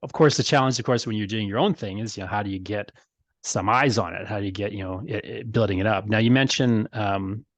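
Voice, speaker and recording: A man's voice: fairly low pitch, brisk, dry recording. A man hosting a podcast interview in a casual conversational way, circling discursively toward his questions. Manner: discursive, rambling build-up to questions.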